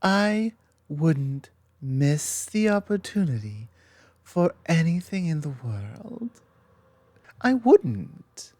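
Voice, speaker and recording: A woman playing a loving, gently dominant lamia girlfriend in an intimate ASMR roleplay, speaking softly and tenderly with hesitant pauses. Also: low voice, sing-song, no room sound, full character voice. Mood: eager, warm. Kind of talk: ASMR roleplay, intimate one-sided conversation.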